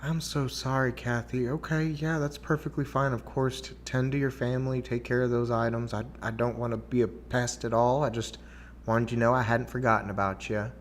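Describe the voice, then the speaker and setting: A man's voice: medium pitch, steady tone, fairly quick, slight background noise. A man working as a real estate agent, making polite follow-up calls and talking through his day, switching between English and Spanish as a learned second language. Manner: polite and courteous, says ma'am.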